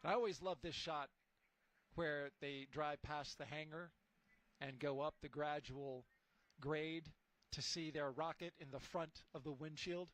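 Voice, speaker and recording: A man giving live launch commentary in a relaxed, upbeat, conversational way. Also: fairly high pitch, unhurried, low-quality recording.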